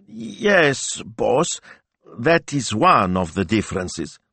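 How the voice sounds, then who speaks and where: A timid, slow-witted young sidekick with a raised, hesitant voice, deferring at every turn, as a male storyteller puts him on. The sound is dry and close. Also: medium pitch, huge pitch swings, gravelly, fairly bright tone, slightly rough, no room sound, pitched above the performer's own voice, full character voice.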